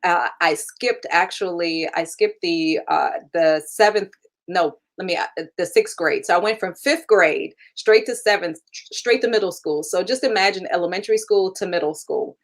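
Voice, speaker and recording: A woman's voice: fairly low pitch, normal speed. Candid woman guest who laughs easily. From Germany. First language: German. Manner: candid, laughs often, many fillers. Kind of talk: casual interview.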